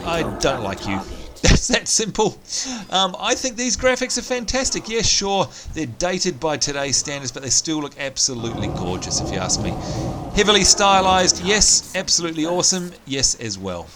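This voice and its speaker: Guy with a kinda worn-out voice talking through his game, upbeat and chatty, slightly high, faint game noise behind. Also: very animated, moderate pace. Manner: chatty, upbeat, thinking aloud. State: strained, nearly lost voice. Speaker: let's play host. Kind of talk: gameplay commentary. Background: game sounds and music.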